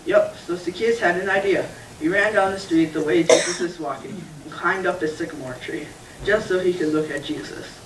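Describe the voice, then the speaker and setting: A man's voice: high voice, normal speed, background noise. A man voicing the puppet Carl, patiently explaining a Bible story to a younger friend in a church puppet show. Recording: echoey room, distant mic.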